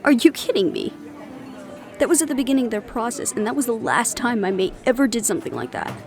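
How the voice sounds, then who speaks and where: A woman's voice: a little high, lively intonation, fast. A woman acting in an audio roleplay as a young adult daughter, defiant, loyal and argumentative, with an emotional, sarcastic edge. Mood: angry, defiant.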